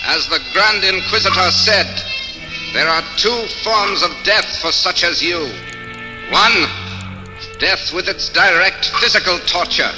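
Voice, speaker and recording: An actor playing a ghostly Inquisition friar, coldly menacing and deliberate, in 1940s radio horror style. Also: male voice, high-pitched, poor audio. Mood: cold, formal. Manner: deliberate, formal, sinister. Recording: old radio broadcast with music behind.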